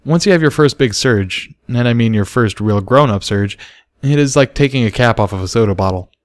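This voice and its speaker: A man's voice: mid-range voice, animated, fairly quick, no room sound, close to an everyday voice. Man acting a careful, awkward stallion mentor. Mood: gentle, explaining. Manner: hesitant, careful, explanatory. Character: awkward, careful adult male pony mentor.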